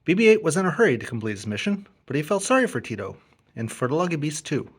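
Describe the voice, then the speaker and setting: A man narrating a children's story as an expressive read-along. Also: a little high, fast talker, breathy edge. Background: music and sound effects.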